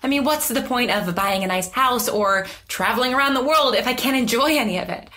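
An actress playing an anxious, lonely woman in her twenties, speaking haltingly and self-deprecatingly in a naturalistic audio drama. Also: on the high side, lively intonation, pushed and bright, a little hiss or hum. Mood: fed up. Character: anxious, lonely young woman who vanishes through time.

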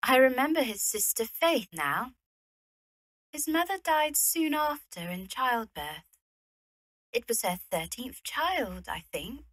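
A woman's voice: on the high side, sing-song, takes it slowly, a bit bright, no room sound, exaggerated voice. A woman playing a genteel, evasive young widowed lady, polite and a little hesitant, in an audio drama. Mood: feigned casual. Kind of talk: radio play acting.

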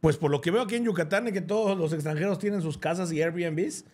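A man's voice: high-pitched, fast, darkish tone. A young man with a Mexican accent, co-hosting a podcast in casual, slangy, jokey chat, laughing often. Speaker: podcast co-host. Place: podcast studio.

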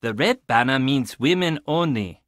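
A man's voice: medium pitch, expressive, moderate pace, fairly bright tone, no echo, studio quality, natural voice. An actor playing an agitated, earnest young man at a Japanese inn in a video game, emphatic and dramatic. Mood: helpful, brisk.

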